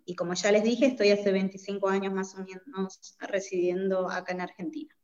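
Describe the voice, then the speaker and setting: A woman's voice: mid-range voice, flat delivery, moderate pace, a little mellow, dull audio. A woman from Bolivia speaking as a podcast guest, explaining her work conversationally and checking in with little tag questions. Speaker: podcast guest, migration social worker. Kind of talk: conversational interview answers.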